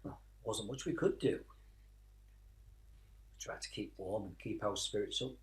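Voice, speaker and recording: An actor playing a weary, tender British father who fought in the trenches, speaking reflectively in a radio play with dramatic pauses. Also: lowish voice, close to an everyday voice. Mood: haunted, reflective.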